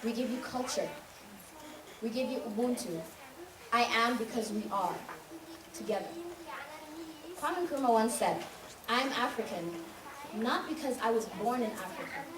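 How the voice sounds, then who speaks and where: A woman's voice: on the high side, animated, normal speed, long pauses, crowd noise. A young woman from Tanzania giving an emphatic, rhythmic spoken-word monologue on a school stage. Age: young adult. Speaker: student speaker. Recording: echoey hall recorded from far off, noisy.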